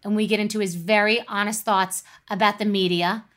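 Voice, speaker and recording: A woman's voice: medium pitch, fairly even intonation, fairly quick, fairly bright tone. A middle-aged woman hosting an interview, introducing her guest and chatting eagerly with him. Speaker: podcast host. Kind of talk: casual interview.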